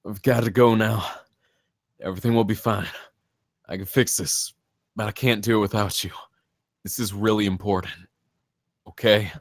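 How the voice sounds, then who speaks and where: A man playing a desperate man cursed to become a werewolf, urgent and gravelly, in a screenplay table read. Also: on the low side, steady tone, moderate pace, pauses often, no room sound, clean studio sound, lightly acted. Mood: urgent, pleading. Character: desperate man fighting his transformation into werewolf.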